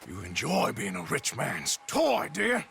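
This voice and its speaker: An actor playing a wry, tough Old West outlaw with an American accent and a sardonic, folksy drawl. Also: male voice, on the high side, wildly swooping pitch, a little slow, gravelly, music in the background, exaggerated voice. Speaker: actor in a video game cutscene. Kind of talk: cinematic western game acting.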